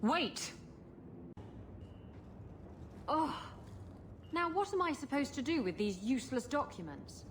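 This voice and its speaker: An actress playing a brash, roguish pirate swindler in a video game, sassy and cheeky in manner. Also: female voice, high-pitched, sing-song, normal speed, slight background noise. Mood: exasperated.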